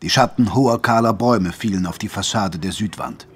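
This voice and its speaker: A man with a husky voice narrating a scripted German audio drama. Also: lowish voice, fairly quick.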